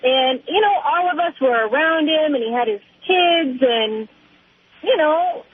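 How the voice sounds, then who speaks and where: A woman's voice: high-pitched, measured pace, slight background noise. A middle-aged woman calling in to a radio show, chatting casually in a hesitant, rambling way. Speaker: caller. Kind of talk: casual conversational phone call. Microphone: telephone. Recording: tinny narrow phone line.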